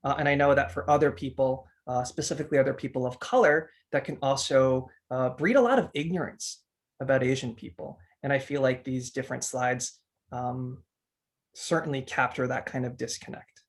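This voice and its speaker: A man's voice: mid-range voice, moderate pace. A man in his thirties from the United States, a teacher co-hosting a webinar. He presents in an earnest way with frequent restarts and fillers. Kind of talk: presenting a discussion talk.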